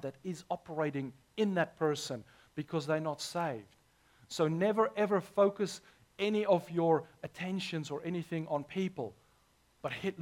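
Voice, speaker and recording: A man, hoarse this morning, preaching a sermon as pastor with earnest, emphatic delivery. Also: on the high side.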